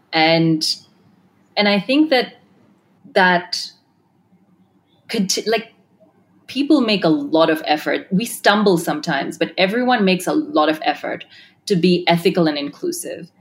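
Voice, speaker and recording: A woman's voice: fairly low pitch, lively intonation, a little slow. A woman who grew up in Kenya and Australia, founder of a tech design firm, answering interview questions thoughtfully with many fillers and restarts.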